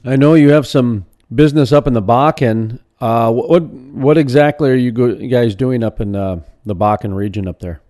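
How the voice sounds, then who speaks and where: A man's voice: mid-range voice, moderate pace, hardly any pauses, dark tone. Casual joking male interview host. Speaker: radio show host. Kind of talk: interview questions.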